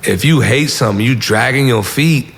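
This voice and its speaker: A man's voice: medium pitch, normal speed, vocal fry, fairly bright tone. A young man from Southern California, talking in a casual, slangy way as a musician being interviewed. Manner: slangy, informal. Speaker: musician guest. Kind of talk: casual interview, giving advice.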